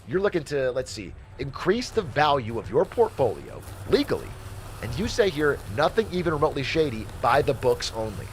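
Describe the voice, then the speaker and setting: A shameless hustler of a money guru for a video game, acted by a man, glib and showy like a salesman. His pitch swings widely as he pushes his advice, with faint noise behind him. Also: very animated, brisk, natural voice. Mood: smug, breezy. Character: shady, slick male finance guru, shameless hustler. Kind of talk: game voice acting, hosted advice show patter.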